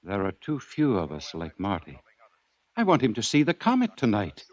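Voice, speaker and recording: A gentle, scholarly professor from 1940s radio drama. The voice is earnest and precise, with lively rises and falls. The old recording is muffled, with no room around the voice. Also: male voice, on the low side, moderate pace, no echo.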